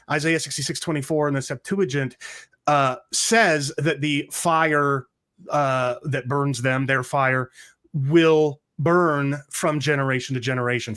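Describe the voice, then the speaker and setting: A man's voice: mid-range voice, fairly bright tone. A man hosting a casual theological discussion, putting questions to his co-host and often restarting his phrases. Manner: asks questions, restarts and stumbles over words. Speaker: podcast host.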